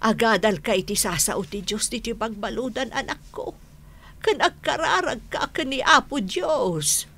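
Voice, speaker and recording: A woman playing a poor, lonely elderly mother, humble and gently firm, in a radio drama. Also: fairly high pitch, expressive, measured pace, full character voice. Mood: pleading, firm. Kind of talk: radio drama acting in Ilocano.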